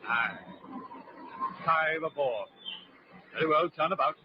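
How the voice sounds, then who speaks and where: An actor playing a brisk, authoritative sea captain in a 1940s radio drama. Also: male voice, a little high. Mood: commanding. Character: commanding, inquisitive male sea captain. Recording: dull, noisy 1940s radio recording.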